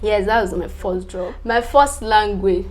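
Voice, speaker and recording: Young Nigerian vlogger, a woman with Yoruba as her first language, in an easy middle register; loose, filler-heavy and quick to laugh. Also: medium pitch, fairly even intonation. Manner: chatty, filler-heavy, repeats "definitely", laughs between answers. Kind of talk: casual chat to camera.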